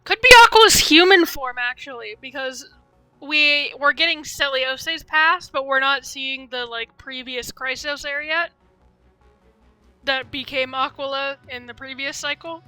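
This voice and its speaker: Bright, high-voiced woman streaming a game. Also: measured pace, no echo. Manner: rambling, thinking aloud, tentative. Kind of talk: casual livestream gameplay commentary. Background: game music.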